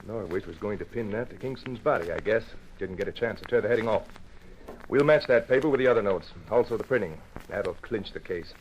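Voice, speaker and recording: A man in an old-time radio drama playing a calm, decisive investigator who explains things deliberately. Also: on the low side, lightly acted. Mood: brisk, authoritative. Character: calm, decisive man solving a museum murder. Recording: muffled, noisy old radio recording.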